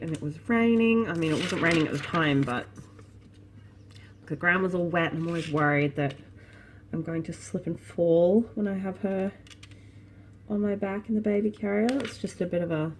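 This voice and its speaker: A woman's voice: on the low side, a little hiss or hum. An Australian woman in her thirties, rambling casually as she thinks aloud in a home vlog from her living room. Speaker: vlogger and small online shop owner.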